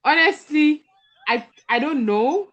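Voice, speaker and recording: A woman's voice: high voice, animated, slow pace, lo-fi sound, background noise. A woman with a Nigerian accent hosting a casual group chat on a podcast. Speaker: podcast host.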